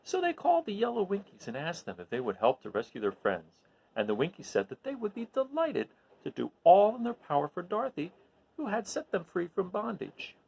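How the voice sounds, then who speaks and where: A man reading a children's story aloud expressively, in an animated way that addresses his listeners. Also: high-pitched, fairly quick, gravelly, slightly rough, dull audio. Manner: animated, recapping with questions to listeners.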